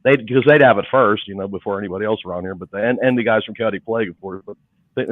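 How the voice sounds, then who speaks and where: A man in his fifties, chatting casually on a podcast, halting and stammering as he talks. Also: on the low side, a little hiss or hum. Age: 50s. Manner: halting, stammers and restarts, laughs into his words. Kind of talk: casual chat. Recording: dry, tinny remote call line.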